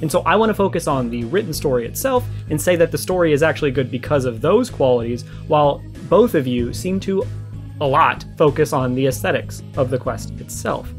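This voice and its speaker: Higher-voiced young man arguing over guitar music. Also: lively intonation, a little fast. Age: young adult. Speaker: video essayist responding to a streamer. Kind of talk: conversational argumentative video commentary.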